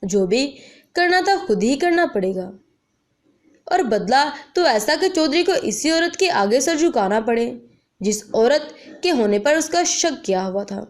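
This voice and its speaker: A woman narrator plays a proud young village bride-to-be in a dramatic story. She is defiant and outspoken, with a fairly high, lively voice that swings with feeling. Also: unhurried. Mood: vengeful resolve. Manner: blunt, outspoken.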